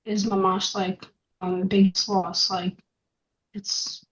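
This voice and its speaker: A woman joins a group discussion, speaking hesitantly with many fillers. Her pitch stays flat and even, and her audio sounds dull and lo-fi. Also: slow pace. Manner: hesitant, full of "like" and "you know".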